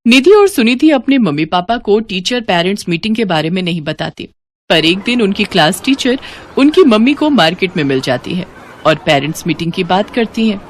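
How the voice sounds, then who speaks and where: Female narrator for a moral story, animated delivery, dry close sound. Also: mid-range voice, normal speed, no room sound. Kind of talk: scripted moral story narration in character voices.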